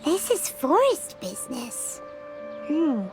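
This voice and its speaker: A woman voicing a small, curious, chatty fairy companion in a video game, with a squeaky childlike voice. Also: big pitch swings, takes it slowly, music in the background, exaggerated voice. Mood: thoughtful, eager.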